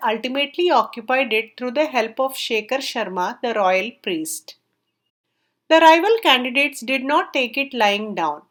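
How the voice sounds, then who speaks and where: Female storyteller, high voice, scripted story narration. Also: fairly bright tone.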